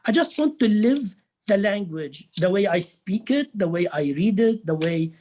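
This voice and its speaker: A man's voice: high-pitched, moderate pace, a little hiss or hum. A man with a Lebanese Beirut accent speaking as a guest professor on a conference panel, repeating words and often tagging his points with "right?". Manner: animated, repeats words, frequent tag "right?". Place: conference stage.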